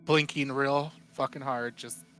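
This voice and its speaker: A man's voice: mid-range voice, steady tone, measured pace, bright, forceful tone, faint music behind. A man chatting casually as a player in a tabletop role-playing game, describing his character's moves. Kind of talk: casual improvised game chat.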